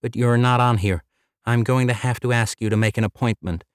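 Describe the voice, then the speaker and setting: A man's voice: mid-range voice, steady tone, brisk, smooth, dry recording, studio quality, pitched above the performer's own voice, close to an everyday voice. A man voicing a polite young female office receptionist who is courteous but will not bend. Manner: chirpy, courteous, apologetic but unbending.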